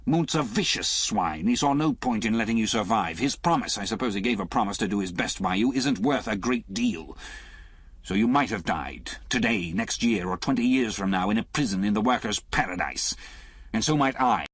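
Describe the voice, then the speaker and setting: A man's voice: lowish voice, animated, moderate pace, a bit bright, husky, no echo, voice pushed up. A man voicing a hardened, cynical male spy who speaks curtly and sarcastically. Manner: curt, sarcastic.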